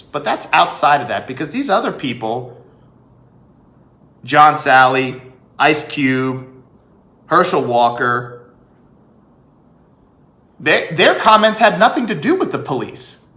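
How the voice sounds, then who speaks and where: A man giving an emphatic opinion monologue as a vlog host, with rhetorical questions and deliberate pauses. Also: medium pitch, pushed and bright. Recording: echoey room.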